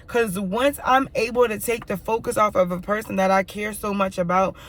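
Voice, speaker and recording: A woman with a raspy, hoarse voice from yelling, talking through a candid personal monologue in an emphatic, repetitive way and swearing freely. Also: mid-range voice, steady tone, moderate pace. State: hoarse, dry throat from yelling. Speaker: vlogger.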